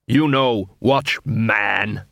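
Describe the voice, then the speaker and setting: A man voicing a hostile, gruff male dwarf street fighter who speaks in a curt, accusing way. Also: lowish voice, extremely slow, fairly bright tone, dry recording, clean studio sound, exaggerated voice.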